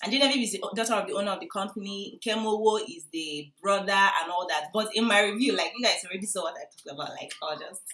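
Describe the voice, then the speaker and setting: Excitable Nigerian Igbo woman, echoey room. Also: medium pitch, fast, hardly any pauses. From Enugu, southeast Nigeria. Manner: excitable, expressive, emphatic. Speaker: movie reviewer. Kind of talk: casual vlog chat to viewers. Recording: roomy indoor echo.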